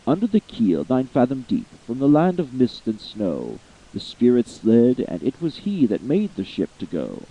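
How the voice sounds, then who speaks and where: A man giving a slow, theatrical reading of a long narrative poem, swelling with feeling at the high points. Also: huge pitch swings, breathy edge, muffled recording. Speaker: amateur voice actor reading poetry. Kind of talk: dramatic poetry reading.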